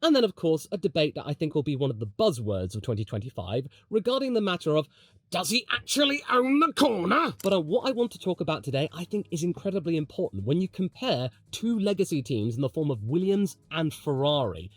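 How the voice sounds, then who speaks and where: A man's voice: a little high, very animated, fast talker, few pauses, a little mellow, no room sound. A man with a British accent giving opinionated, slangy motorsport commentary straight to his audience, with emphatic storytelling.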